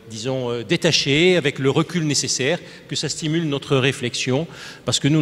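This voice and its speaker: Older man moderating, long hall echo. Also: mid-range voice. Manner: formal, wordy, many fillers and restarts. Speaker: panel moderator, physician. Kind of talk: moderating a panel discussion. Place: conference stage. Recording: reverberant hall.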